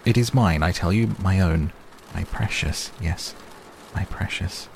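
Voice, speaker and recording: A man's voice: low voice, steady tone, moderate pace, creaky, darkish tone. A man voicing an old hobbit in a story reading, fussy, stubborn and testy, with a defensive manner, on a noisy recording. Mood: angry, possessive. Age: older. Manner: stammering, impatient, defensive.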